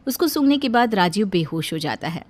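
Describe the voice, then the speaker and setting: A woman's voice: mid-range voice, sing-song, a little fast. A woman narrator reading a scripted cartoon tale in storytelling style.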